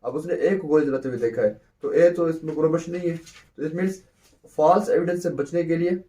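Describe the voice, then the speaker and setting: A man's voice: a little high, fairly even intonation, a little fast, dark tone, far from the mic. A man teaching a law lecture, who repeats points and answers his own questions. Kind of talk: explanatory lecture, mixing in English terms.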